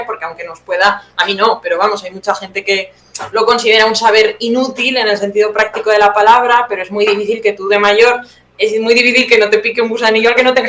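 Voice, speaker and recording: A woman's voice: medium pitch, a bit bright, a little hiss or hum. Spanish woman in her thirties, roomy sound. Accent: Spanish, from Spain. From Teruel, Spain. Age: 30s. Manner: conversational, reflective, often checks with tag questions. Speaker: interview guest, playwright. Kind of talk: casual spoken interview.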